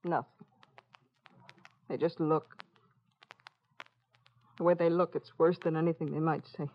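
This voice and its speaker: A woman's voice: low voice, flat delivery, unhurried. An actress playing a proud, anxious mother of a sick child in an old-time radio drama. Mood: despairing. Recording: muffled old radio recording.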